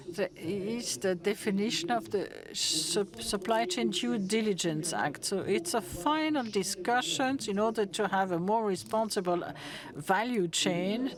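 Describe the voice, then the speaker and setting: A woman from Germany with a German accent, giving a halting conference talk full of restarts and fillers. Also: mid-range voice. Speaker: conference speaker. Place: conference hall with an audience.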